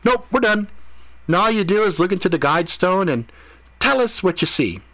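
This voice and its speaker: A man's voice: high-pitched, animated, moderate pace, pushed and bright, voice pushed up, heavily acted. A man voicing a matey, teasing, geeky male friend in a story reading. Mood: amused, teasing.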